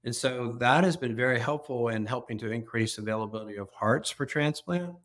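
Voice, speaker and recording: Man, mid pitch, interview guest; earnest explainer who restarts phrases. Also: fairly quick, fairly bright tone. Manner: earnest, explanatory, repeats words when starting. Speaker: organ donation organization executive.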